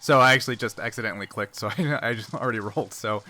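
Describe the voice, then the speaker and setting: Bright, clear-cut man running a tabletop game. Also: mid-range voice, fast, slight background noise. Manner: explanatory, checking understanding. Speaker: game master. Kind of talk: explaining rules and narrating a tabletop game.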